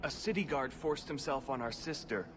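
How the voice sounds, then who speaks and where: A man's voice: medium pitch, normal speed, noisy background, lightly acted. An actor playing an angry young male elf fugitive who speaks in a bitter, defiant way. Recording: game audio with clear music behind.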